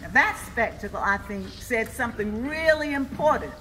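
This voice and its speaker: A woman's voice: on the high side, expressive, normal speed, a loud crowd, lightly acted, rough recording. A woman on stage playing a thoughtful, earnest history commentator in scripted readers theatre. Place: live stage performance.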